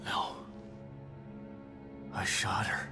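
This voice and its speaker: An actor playing a grim, guilt-ridden middle-aged survivor in a video game, with a terse, brooding delivery that often drops to a murmur. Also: male voice, drawn-out words. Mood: grieving, self-blaming. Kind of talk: cinematic video game acting. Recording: music under the dialogue. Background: music.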